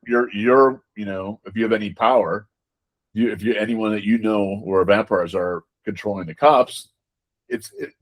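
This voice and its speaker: A man being interviewed as a game designer, talking casually and haltingly, often repeating words as he explains. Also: mid-range voice.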